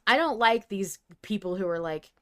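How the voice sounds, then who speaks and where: A woman's voice: mid-range voice, expressive, moderate pace, fairly bright tone. A woman co-hosting a podcast in casual discussion, emphatic and wryly opinionated. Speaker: podcast co-host. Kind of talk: casual discussion of a fiction podcast, reading listener submissions.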